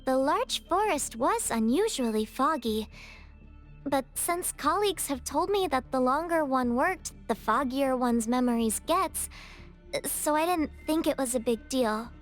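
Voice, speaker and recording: A young female resort worker in a video game, with a high, polite voice. She is earnest and takes things literally, and music plays faintly behind her. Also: animated, unhurried.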